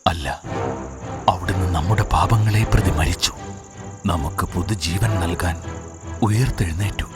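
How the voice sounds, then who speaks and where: A deep voice as a cartoon priest with a Malayali accent. He pleads gently and earnestly, in solemn, measured preaching, over clearly audible music. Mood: solemn pleading.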